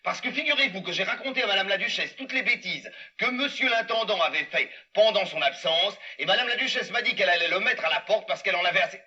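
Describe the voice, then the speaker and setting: A cheeky, cheerful puppet hero, voiced by a man who chats with the children in the audience. His voice is high and bright with big lively swings in pitch. It is recorded from far off and sounds dull. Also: fast talker, few pauses, exaggerated voice. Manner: chatty, confides in the children.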